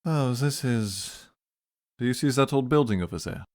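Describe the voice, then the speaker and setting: An actor playing a grave, fatalistic Victorian London man in a radio drama, speaking in a measured, somber, enigmatic way. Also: medium pitch, animated, natural voice. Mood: polite, composed.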